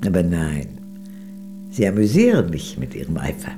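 An actress playing a proud, worldly, aristocratic old former Soviet spy in a German radio drama, with a grand, teasing, theatrical manner. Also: female voice, a bass voice, wildly swooping pitch, moderate pace, over music, heavily acted. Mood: amused pride.

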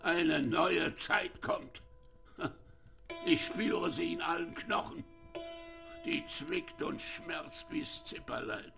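An actor playing a bitter, ailing old dike reeve in a German radio drama, gravelly and husky, halting and brooding as he speaks. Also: high-pitched, huge pitch swings, music in the background, exaggerated voice. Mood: scornful, agitated. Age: older. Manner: slow, brooding, halting with repeated words.

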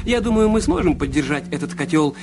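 An actor in an audio drama playing a nosy, chummy studio publicist with a teasing, chattering manner. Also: male voice, a little high, lively intonation, fairly quick, noisy background. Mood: pushy, chummy. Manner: teasing, chattering, hesitant filler sounds. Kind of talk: radio play acting.

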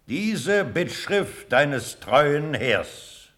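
Old loyal colonel: very animated intonation, earnest, respectful, declamatory classical radio acting. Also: male voice, fairly high pitch, very slow, heavily acted. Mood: dismayed deference. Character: loyal old Prussian colonel, frank and deferential veteran. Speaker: actor in a radio drama. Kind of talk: classical German verse radio drama acting.

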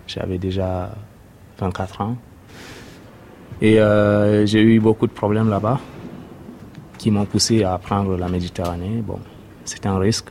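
A young man from Sudan, native Arabic speaker, giving a hesitant personal testimony with many pauses. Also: lowish voice, little pitch movement, mellow, slight background noise. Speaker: interviewee, refugee.

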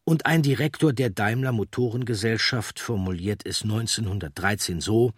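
A man reading scripted documentary narration in a measured, deliberate way. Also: on the low side, breathy edge, no room sound, studio quality.

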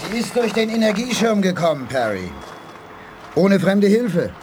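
A man's voice: high voice, big pitch swings, moderate pace, darkish tone. An informal, jocular sidekick in an eighties radio play. His mid-range voice moves animatedly between breezy and sceptical, over faint noise. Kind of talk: 1980s German radio play acting.

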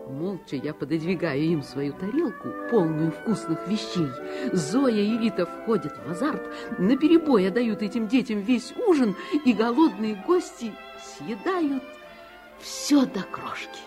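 A woman playing a sensitive, reflective narrator of her childhood, earnest and expressive, in 1950s Soviet radio style. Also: mid-range voice.

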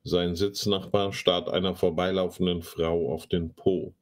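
A man's voice: deep voice, level tone, a little slow. A man, sarcastic and slangy, hosting a casual commentary show. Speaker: host of a live online talk show. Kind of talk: casual commentary with mocking read-aloud.

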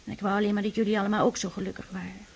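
An actress playing a shy, devout aristocratic sister in a Dutch radio drama, speaking earnestly and tenderly, with pleading admonishment. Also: female voice, mid-range voice, little pitch movement, very fast, creaky, a little hiss or hum, lightly acted. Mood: earnest, admonishing.

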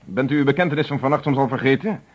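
Radio drama inspector, male actor: stern, probing, authoritative, formal diction, mid-pitched, on a dull old recording. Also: rapid-fire, lightly acted. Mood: stern, pressing. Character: stern, probing police inspector leading an interrogation. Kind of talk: radio play acting.

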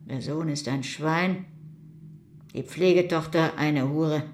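A woman's voice: sits low, takes it slowly, a little mellow, slight background noise. A woman playing a hardened older mother in a radio crime drama, speaking with cold, reproachful severity. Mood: bitter, self-pitying.

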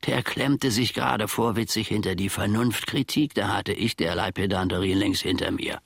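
An actor playing a self-important, grumbling old manservant and former soldier in a German radio play, musing theatrically in a husky, gravelly voice. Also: male voice, on the low side, fairly even intonation, normal speed, dry recording, clean studio sound. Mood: smug, musing. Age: older.

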